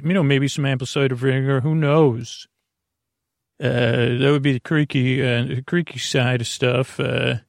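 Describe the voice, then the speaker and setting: A man hosting a sleep podcast, talking in a soft, lulling, creaky voice and rambling goofily with many fillers and restarts. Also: mid-range voice, dry recording, clean studio sound. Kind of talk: meandering bedtime talk to help listeners sleep.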